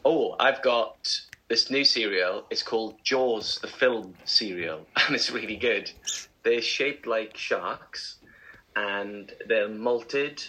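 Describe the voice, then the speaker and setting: Bright male co-host, young, rambling and self-mocking; casual breakfast chat. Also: fairly low pitch, slow pace, rough recording.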